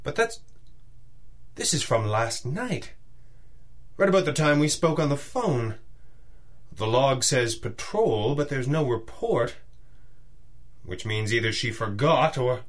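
An actor playing a thoughtful masked pulp detective hero in a radio drama, musing aloud deliberately. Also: male voice, medium pitch.

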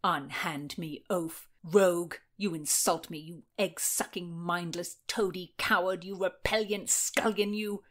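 A woman's voice: fairly low pitch, fairly even intonation, measured pace, few pauses, a bit bright. A woman voicing a haughty, hot-tempered young Tudor nobleman in an audiobook, indignant and spluttering. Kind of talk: audiobook character dialogue.

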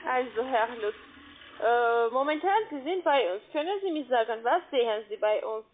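High voiced lady w foreign accent, halting broken sales pitch, tinny phone line. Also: animated. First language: not German. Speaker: call centre sales agent.

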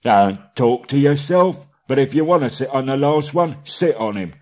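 A man voicing a coarse, argumentative troll with drawn-out rustic speech in a storybook reading. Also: medium pitch, lively intonation, darkish tone, pitched above the performer's own voice, close to an everyday voice.

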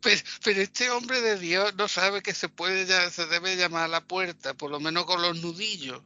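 Man, gravelly voice, somewhat high pitch, mocking and laughing, lo-fi podcast sound. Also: moderate pace, sharp tone, no room sound. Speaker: podcast co-host. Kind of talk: sarcastic film review chat. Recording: dull lo-fi podcast audio.

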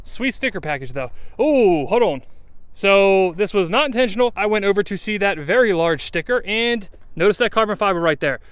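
A man's voice: high voice, expressive, fairly bright tone, clear, resonant tone, no echo. A man with a Texan accent walking an outdoor salvage lot, giving wry, candid commentary on wrecked cars. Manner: wry, candid, quick asides. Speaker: salvage car buyer and vlogger. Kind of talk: casual walkaround commentary. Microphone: handheld camera at arm's length.

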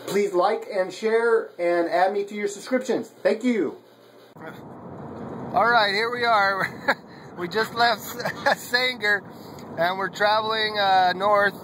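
A man's voice: high voice, background noise. A man in his thirties chatting casually to the camera on a road trip, recorded inside a moving car. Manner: loud upbeat greeting to camera, then relaxed rambling with laughs. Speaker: vlogger. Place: indoors at first, then inside a moving car.

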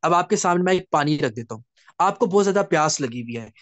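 A man's voice: a little high, lively intonation, quick pace, no echo. A man with a Pakistani accent leading an informal online motivational training session, speaking in an emphatic, repetitive, instructive way with frequent check-back questions. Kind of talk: informal motivational coaching talk to online participants. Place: online video meeting.

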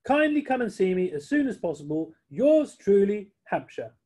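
A man's voice: high voice, very animated, moderate pace, dark tone, husky, pitched above the performer's own voice, heavily acted. A man voicing a stiff, formal male chauffeur in a storybook reading, announcing in a pompous, ceremonious way.

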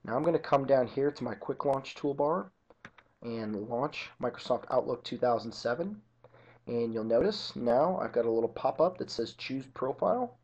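A man narrating an informal software tutorial as an instructor, explaining each step methodically. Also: medium pitch, animated, moderate pace. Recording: dull lo-fi computer screen-recording audio.

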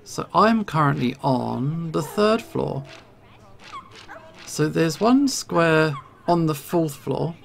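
Let's play streamer, mid-range creaky voice, nervous self-talk. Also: mid-range voice, expressive, slow pace, slight background noise. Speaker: video game streamer.

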